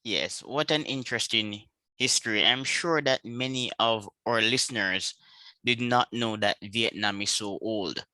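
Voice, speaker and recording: A man's voice: fairly low pitch, measured pace, sharp tone, dry recording. A man hosting an interview, introducing his guest and putting questions to him. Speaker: interview host.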